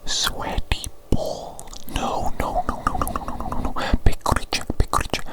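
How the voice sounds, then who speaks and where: A woman whispering an ASMR medical roleplay as a fussy doctor, softly repeating her phrases in a breathy, unvoiced voice. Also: unhurried. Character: fussy evil doctor examining a creature. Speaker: ASMR performer playing a doctor.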